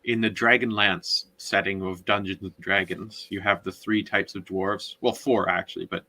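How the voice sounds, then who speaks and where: A man recapping a story in a casual book discussion, often halting as he searches for words. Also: deep voice, steady tone, bright, forceful tone. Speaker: podcast co-host.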